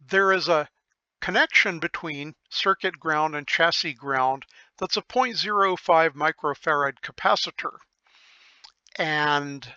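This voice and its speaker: A man with a fairly high, bright voice walks through technical material very slowly and methodically, pausing to think aloud. The sound is close and dry, though a little dull and lo-fi. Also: no echo. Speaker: electronics repair hobbyist presenter. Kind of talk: informal technical explanation of a circuit diagram.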